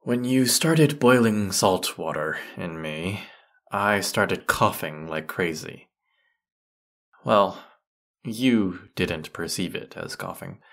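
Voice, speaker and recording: A man's voice: low-pitched, lively intonation, slow pace, a bit bright, exaggerated voice. A man playing a grumpy, haughty century-old teapot spirit in an audio roleplay, scolding the listener with put-upon sarcasm. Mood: scolding, dismissive.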